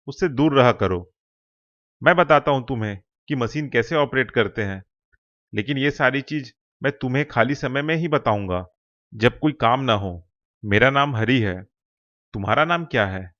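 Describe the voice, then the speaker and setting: A man's voice: mid-range voice, animated, normal speed, a bit bright, muffled recording, natural voice. A man voicing a kindly, cautious veteran factory worker in a cartoon story, patiently explaining and advising. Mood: friendly advising. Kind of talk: Hindi cartoon story dialogue.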